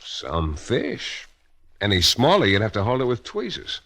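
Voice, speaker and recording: An actor playing a tough, world-weary private detective who narrates a hardboiled 1940s-style radio drama with clipped, sardonic delivery. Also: male voice, fairly low pitch, huge pitch swings, a little slow, creaky, fairly bright tone, exaggerated voice. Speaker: detective and first-person narrator.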